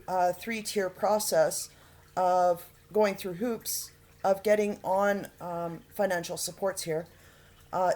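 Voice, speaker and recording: Halting earnest woman making an appeal. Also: medium pitch, darkish tone. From Alberta, Canada. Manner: halting, many fillers and pauses; earnest, pleading. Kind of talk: unscripted appeal to camera.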